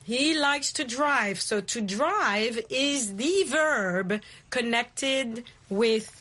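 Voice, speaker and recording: A woman's voice: a little high, lively intonation, drawn-out words, sharp tone. A woman from Kenya hosting a conversational English lesson, playfully repeating and explaining what her guest says. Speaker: host teaching English vocabulary.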